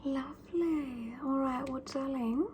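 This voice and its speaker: A woman doing a whispered ASMR roleplay as a fussy, pampering mother who calls her child darling and scolds her gently. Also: sits high, noisy background. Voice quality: soft whisper. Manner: doting yet scolding, lingering and drawn-out.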